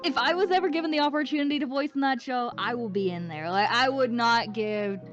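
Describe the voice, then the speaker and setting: An Irish woman talks in a gushing, excitable way, full of "like" and quick asides. Her voice comes through a dull, lo-fi stream feed, with music clearly playing behind her. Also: a little high. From Ireland. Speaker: voice actress guest. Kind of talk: casual podcast-style chat.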